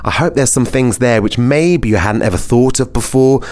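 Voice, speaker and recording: A man's voice: medium pitch, moderate pace, a little mellow. A man with a British accent hosting a wellness podcast, talking in a casual, chatty, rambling and informal way. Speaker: podcast host.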